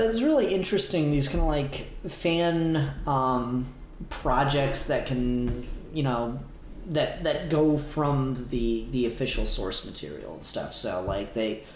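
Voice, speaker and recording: A man's voice: mid-range voice, a little mellow. A man hosting a review show in casual chat, with hesitant, rambling phrasing that repeats words. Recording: roomy sound with faint background noise.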